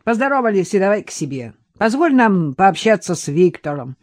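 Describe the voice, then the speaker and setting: A woman's voice: medium pitch, very animated, moderate pace, darkish tone. A woman voicing a bitter older man who talks harshly, sarcastically and in angry bursts.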